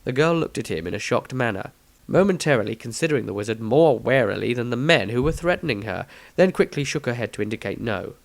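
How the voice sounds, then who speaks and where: A man's voice: mid-range voice, animated, fast talker, creaky, no echo. A man reading a scripted fantasy story aloud as narrator, with a dramatic storytelling manner. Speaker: author reading own story.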